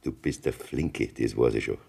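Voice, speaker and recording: An actor with a Bavarian accent playing a gruff, teasing, gravelly-voiced farmer in a radio drama. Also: male voice, a bass voice, normal speed, dark tone, heavily acted. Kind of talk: German radio drama acting.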